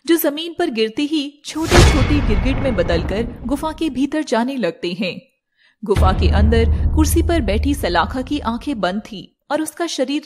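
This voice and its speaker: High-pitched woman storyteller. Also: background noise. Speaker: narrator. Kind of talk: dramatic scripted fairy-tale narration.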